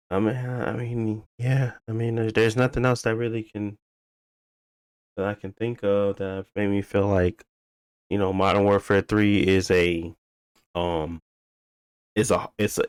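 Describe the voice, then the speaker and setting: Man, deep voice, podcast co-host, casual game chat, rambling delivery, dry close sound. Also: clean tone, dry recording. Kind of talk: casual video game discussion.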